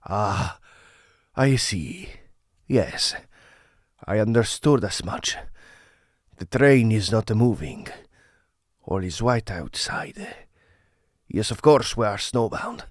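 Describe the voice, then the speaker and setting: An actor playing an irritable, suspicious and reclusive foreign gentleman in a Victorian audio drama, muttering indignantly to himself. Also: lowish voice, animated, takes it slowly, pauses often, no echo, clean studio sound. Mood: grumbling, scornful. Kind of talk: period farce audio drama acting.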